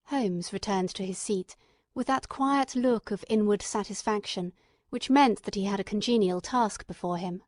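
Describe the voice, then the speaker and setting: Measured woman narrator, dry close sound. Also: medium pitch, darkish tone, no echo. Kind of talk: scripted audiobook narration of a classic novel.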